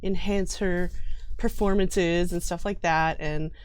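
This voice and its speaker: A woman's voice: lowish voice, steady tone, measured pace, clear, resonant tone. A woman chatting casually as a guest player, giggly and informal as she explains things. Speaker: tabletop game player, guest. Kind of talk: casual chat describing a game character.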